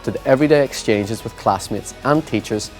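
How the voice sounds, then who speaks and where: A man giving formal, scripted narration for a school promotional video. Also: medium pitch. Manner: formal, measured reading. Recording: music bed underneath.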